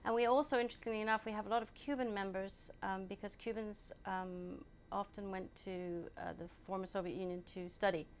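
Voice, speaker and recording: A woman born in England, answering interview questions at a steady, measured pace and often pausing on ums. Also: medium pitch, a little hiss or hum. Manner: measured, hesitant with many ums. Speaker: guest, group founder.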